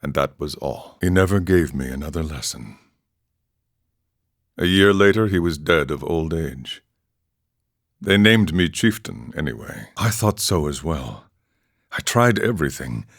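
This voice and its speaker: Really low voiced old-world warrior monk for a game, ex chieftain, solemn n measured storytelling, super dry close sound. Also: male voice, lively intonation, dry recording, exaggerated voice. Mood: solemn, reflective. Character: weathered, philosophical male warrior monk, former chieftain. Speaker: video game voice actor. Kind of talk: scripted dramatic storytelling monologue.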